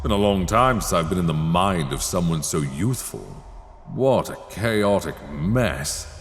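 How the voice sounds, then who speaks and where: A man performing older male sorcerer, very low creaky voice, silky menace, teasing condescension, fantasy ASMR roleplay, long cavernous reverb. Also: a little hiss or hum, full character voice. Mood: probing, condescending. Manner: deliberate, condescending, silky, teasing, formal diction. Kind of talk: slow dark fantasy ASMR roleplay acting.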